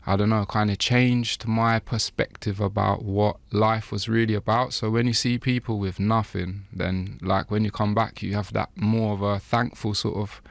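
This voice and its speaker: A man giving an interview, talking in a relaxed, rambling way with frequent laughs. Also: lowish voice, moderate pace, creaky, hardly any pauses, no echo. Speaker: interview guest, chef. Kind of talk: casual interview talk.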